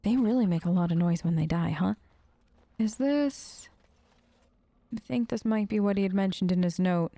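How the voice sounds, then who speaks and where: A woman's voice: medium pitch, normal speed, pauses often, dark tone, no room sound. A woman giving casual, chatty gameplay commentary, often talking to herself and to the characters as she plays. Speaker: let's play host.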